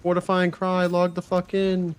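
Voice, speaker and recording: A man in his thirties streaming a video game live, chatting casually with his viewers and reacting excitedly with slang and swearing. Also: high-pitched, moderate pace, clear, resonant tone. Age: 30s. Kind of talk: casual live-stream chat while gaming.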